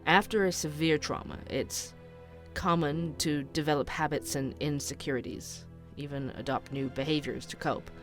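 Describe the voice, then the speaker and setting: An actor playing a thoughtful, inquisitive true-crime podcast host, narrating a case methodically. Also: male voice, fairly high pitch, fairly even intonation, moderate pace, fairly bright tone, soft background music, natural voice. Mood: musing, analytical. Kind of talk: scripted investigative podcast narration.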